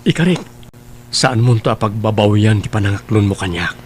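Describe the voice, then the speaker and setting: A man's voice: lowish voice, lively intonation, moderate pace, soft background music, close to an everyday voice. A man acting an earnest young suitor in love in an Ilocano audio drama. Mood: tender.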